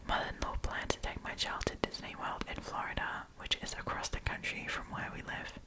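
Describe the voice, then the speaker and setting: A woman hosting an ASMR storytime, reading online stories aloud in a soft, breathy whisper. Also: fairly quick. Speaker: host of an ASMR storytime channel.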